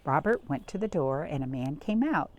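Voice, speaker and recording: Animated woman reading to young children. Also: low-pitched, normal speed. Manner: expressive, with dramatic gasps and questions to listeners. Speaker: storytime reader. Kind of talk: animated picture-book read-aloud for young children.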